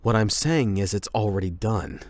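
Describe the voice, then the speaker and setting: A man playing a gruff, impatient teenage delinquent with a hidden caring side, brusque and defensive in an anime roleplay audio. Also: lowish voice, normal speed, darkish tone, no room sound, close to an everyday voice. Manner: brusque, exasperated, defensive, with sighs.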